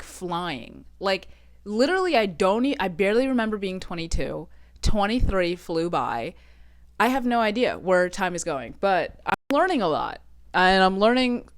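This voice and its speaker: A woman's voice: on the low side, clean tone, no room sound, studio quality. A woman in her twenties from Texas, chatting casually and swearing often as she vlogs from her bedroom. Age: 20s. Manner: chatty, frequent swearing, self-joking. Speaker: vlogger. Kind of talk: casual solo podcast chat.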